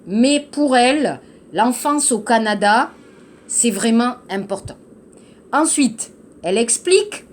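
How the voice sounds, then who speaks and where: A woman teaching to learners, explaining a text slowly and patiently, repeating key phrases and checking understanding. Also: high-pitched, a little hiss or hum. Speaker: French teacher. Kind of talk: slow classroom explanation to learners.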